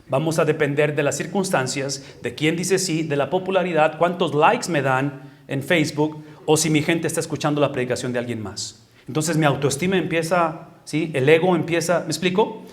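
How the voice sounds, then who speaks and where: A man teaching like a pastor, conversational, checking often that his listeners follow, rising to loud emphatic peaks and dropping to quiet asides. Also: fairly high pitch. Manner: conversational, asks for agreement often. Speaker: pastor teaching a leadership session. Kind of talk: sermon-style Bible teaching to a live group.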